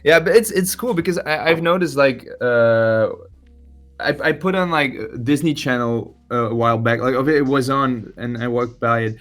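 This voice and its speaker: A man's voice: mid-range voice, moderate pace, fairly bright tone, soft background music. Hesitant young Belgian man. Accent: Belgian Dutch-speaking. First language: Dutch. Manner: hesitant, many fillers and restarts. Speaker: musician guest. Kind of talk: casual podcast interview.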